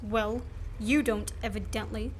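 A woman plays a wrongly accused man on the run in a radio play. The voice is fairly high, with dry, sardonic, clipped banter. There is some noise in the recording. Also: fairly even intonation, unhurried, lightly acted. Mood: wry, indignant. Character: wry man on the run, falsely accused, quick-witted.

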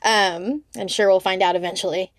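Woman, mid-pitched, very dry sound. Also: fairly even intonation, moderate pace, no echo. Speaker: podcast host. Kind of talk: casual interview chat.

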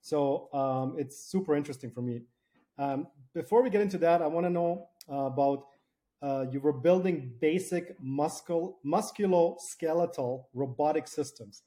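Male podcast interviewer, on the higher side with a soft, dark-edged tone, loose and halting phrasing. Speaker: podcast host. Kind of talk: conversational interviewing.

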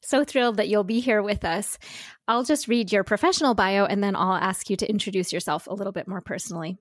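A woman's voice: medium pitch, quick pace, no room sound, studio quality. A woman hosting an interview podcast, enthusiastic as she introduces and reads her guest's bio. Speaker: podcast host.

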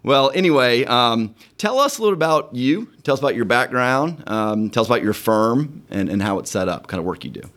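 A man's voice: medium pitch, animated, fairly quick, hardly any pauses, fairly bright tone. A middle-aged man hosting a podcast in a law firm conference room, upbeat, friendly and sincere. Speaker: podcast host and lawyer. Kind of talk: casual podcast chat.

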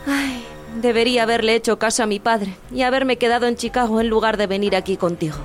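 Casting: woman as worn-out, reproachful working wife, high voice, sarcastic bite, radio drama with music underneath. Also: fast, lightly acted. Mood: indignant, bitter. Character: weary, sharp-tongued working-class wife, scolding and worried. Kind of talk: dramatic audio drama acting in Spanish.

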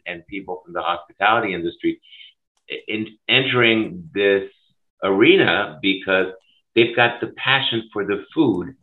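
A middle-aged man from Switzerland, a school food services director, speaking passionately and emphatically in an interview over a video call. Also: low voice. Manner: passionate, emphatic, rapid bursts. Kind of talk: conversational interview. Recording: tinny phone-like line.

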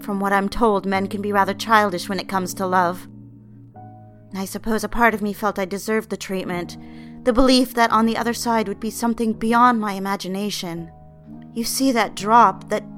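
An actress as a lovestruck young secretary in a 1960s audio drama. Her female voice is mid-range, soft and hesitant. She confides with timid yearning, with music behind her. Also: mid-range voice, fairly even intonation, moderate pace, close to an everyday voice. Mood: yearning, confessional. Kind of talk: period audio drama acting, confessional first-person narration.

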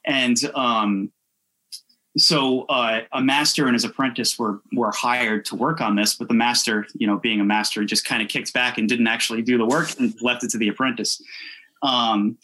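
Halting, bright-voiced man telling stories casually. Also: medium pitch, fairly even intonation, poor audio. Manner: halting, self-interrupting. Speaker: podcast guest. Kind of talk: casual chat, informal storytelling.

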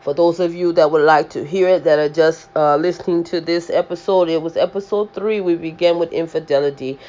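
Woman host with a loud, lower voice, rambling sermon-style podcast, dull lo-fi mic. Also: fairly even intonation, a little fast. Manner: rambling with many restarts and fillers. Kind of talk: faith-based podcast talk.

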